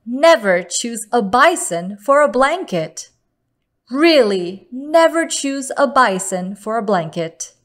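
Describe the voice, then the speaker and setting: A woman storyteller reading a picture book aloud to children in a deliberate, expressive manner. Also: a little high.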